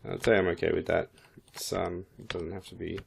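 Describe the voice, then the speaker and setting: A man with a croaky voice, casually thinking aloud as he walks through a 3D modeling software tutorial. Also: low voice, flat delivery, a little fast, a little hiss or hum. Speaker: 3D modeling instructor. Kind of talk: screen-recorded software tutorial.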